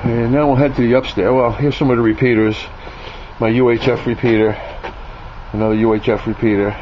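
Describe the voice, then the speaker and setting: A man's voice: mid-range voice, steady tone, a little fast. A man casually narrating an off-the-cuff tour of his radio hobby gear, with jokey, self-mocking boasting. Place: cluttered garage and radio room, indoors. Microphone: handheld camera's built-in mic. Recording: muffled handheld camera audio with clear noise.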